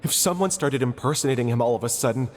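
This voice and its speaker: A man's voice: mid-range voice, natural voice. A man voicing a loyal, anxious young man in a game who speaks hesitantly, stumbling over his words. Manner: hesitant, halting, pleading. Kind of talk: voiced game dialogue.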